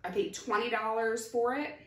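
A woman's voice: fairly high pitch, fairly even intonation, a bit bright, a little hiss or hum. A woman in her forties, chatting casually as she shows thrift finds one by one, with many ums along the way. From Ohio. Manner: chatty, unhurried, many ums, holding up items. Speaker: thrift reseller vlogger. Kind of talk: casual haul show-and-tell. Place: home kitchen, indoors. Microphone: camera at a distance. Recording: roomy kitchen sound, voice from a distance.

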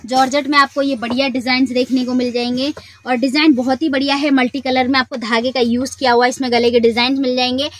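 A woman with a high, light voice hosts a shop video and walks through the goods in an easy, repetitive sales patter. She keeps the talk friendly and steady, like a seller at a market stall. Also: a little hiss or hum. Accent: Hindi speaker from North India. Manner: chatty repetitive selling patter. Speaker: shop video host showing wholesale suits. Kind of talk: product showcase sales pitch.

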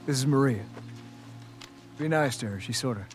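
A man's voice: mid-range voice, normal speed, lightly acted. Man playing easygoing Southern man over music. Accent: American Southern. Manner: folksy, informal, swearing. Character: warm, easygoing grown-up younger brother, settlement man. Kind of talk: naturalistic video game drama acting.

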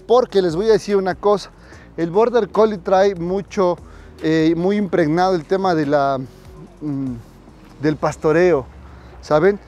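A man's voice: high voice, a little mellow. A middle-aged man training a dog on a residential street, speaking directly to viewers in an instructive way, on a lapel mic as he walks. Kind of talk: outdoor training tutorial.

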